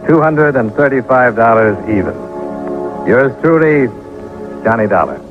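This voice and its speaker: A man's voice: medium pitch, expressive, unhurried, natural voice. A man acting a cool, laconic insurance investigator in an old-time radio detective drama, clipped and understated. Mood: matter-of-fact. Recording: muffled old radio recording, music behind.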